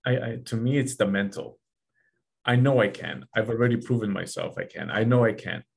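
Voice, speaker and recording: A man's voice: on the low side, brisk, vocal fry. A man in his forties hosting a casual podcast chat, earnest and repeating himself for emphasis. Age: 40s. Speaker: podcast host. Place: small office room, video call.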